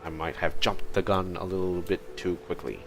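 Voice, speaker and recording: A man's voice: low-pitched, normal speed. A man giving casual livestream commentary on a game, murmuring reactions as he plays. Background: game music.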